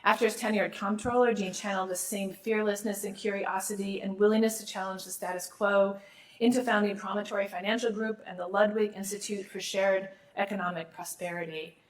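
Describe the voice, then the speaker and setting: A woman's voice: mid-range voice, steady tone, fairly quick, rough recording. A middle-aged woman, a law school dean, gives a formal awards speech while losing her voice, with small restarts and asides.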